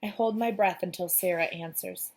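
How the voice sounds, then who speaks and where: Woman reading a kids book out loud, slightly low voice, expressive storybook narration. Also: normal speed, rough recording. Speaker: read-aloud narrator of a children's book.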